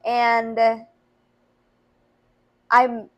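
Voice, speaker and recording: A young woman who works as a youth minister, talking earnestly on a podcast in a high voice. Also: completely flat delivery, extremely slow, dull audio. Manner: earnest, frequent "to be honest" and "like". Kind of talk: casual chat.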